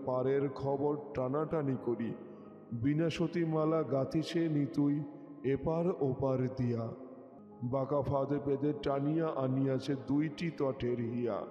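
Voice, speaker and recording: A man's voice: medium pitch, mellow. A man reciting a poem expressively, as a poetry reciter does, over music. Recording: dull, echoey with long reverb.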